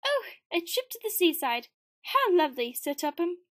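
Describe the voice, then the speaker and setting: A woman voicing Mrs. Kindley, a gentle and grateful older woman recovering from illness, in a fan audio drama. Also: extremely high pitch, big pitch swings, moderate pace. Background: loud music.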